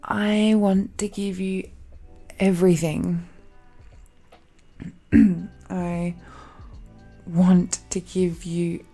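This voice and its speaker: A woman playing an affectionate, possessive young dragon-blooded bride-to-be in an intimate romantic audio roleplay, speaking in a breathy, giggly, hesitant way. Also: on the low side, flat delivery, very slow, pauses often, warm tone, soft background music, full character voice. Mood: tender, nervous.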